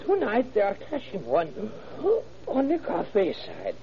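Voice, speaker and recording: An actor playing an eager, excitable café owner with a Greek accent and garbled English in an old radio drama. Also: male voice, sits high, slow, creaky, full character voice. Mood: eager, earnest. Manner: garbled grammar, muddled idioms, eager to help. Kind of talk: old-time radio comic character acting. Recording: muffled old radio recording.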